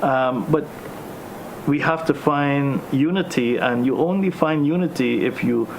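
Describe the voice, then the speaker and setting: A man with a Guyanese accent talks at a mid pitch in a measured way. He pauses often and fills the gaps with ums. A faint rumble sits under the recording. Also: gravelly, low-quality recording. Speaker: host. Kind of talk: discussion.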